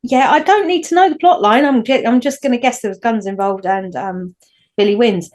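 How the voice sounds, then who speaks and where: A woman's voice: on the high side, brisk, darkish tone. A woman with a Northern English accent, co-hosting a casual podcast chat and rambling through her points with frequent restarts. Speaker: podcast co-host.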